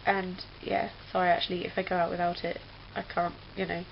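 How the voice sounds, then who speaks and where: A woman's voice: fairly low pitch, flat delivery, a little fast, creaky. A young woman from England chatting casually as a vlogger, rambling with lots of fillers. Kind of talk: casual chat. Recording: dull lo-fi webcam audio with faint hiss.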